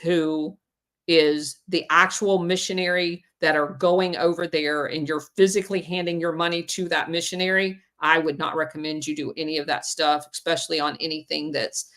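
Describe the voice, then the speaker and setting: A woman's voice: deep voice, level tone, moderate pace, fairly bright tone. Woman host giving straight advice, lots of ums. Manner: plain-spoken, with frequent ums. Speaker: podcast host. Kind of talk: casual advice on a call-in podcast.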